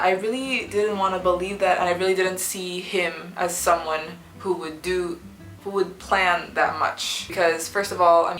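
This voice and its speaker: Young woman vlogger, sore-throated, rambling casual chat, slightly low pitch, roomy home recording. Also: a bit bright. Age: young adult. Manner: chatty, rambling with many fillers and restarts. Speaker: book reviewer vlogger. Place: small student apartment room.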